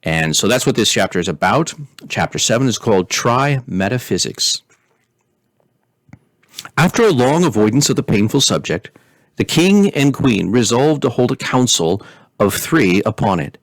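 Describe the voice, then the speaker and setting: A man reading a story aloud in an expressive, measured way, as a pastor hosting storytime. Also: medium pitch, dry recording. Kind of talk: storybook reading with informal recap.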